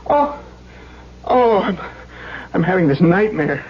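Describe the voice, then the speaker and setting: A man's voice: high voice, sing-song, slow pace, a crowd in the background, exaggerated voice. An actor playing a frantic, haunted man in a radio drama, stammering and insistent. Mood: shaken. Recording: dull vintage radio, faint noise.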